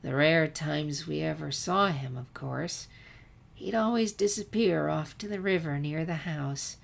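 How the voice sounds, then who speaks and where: A woman's voice: sits low, a little slow, gravelly, full character voice. A woman voicing a weary, gray-haired grandmother in a story, sighing and complaining. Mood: grumbling. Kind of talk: storybook narration character voice.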